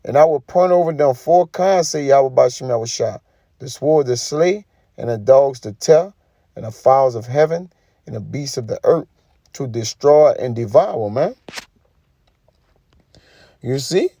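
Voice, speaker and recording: A man's voice: medium pitch, expressive, a little slow, long pauses, warm tone, dry recording, clean studio sound. A man giving an informal sermon, reading scripture and commenting in an emphatic, colloquial way with frequent tag questions. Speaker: preacher.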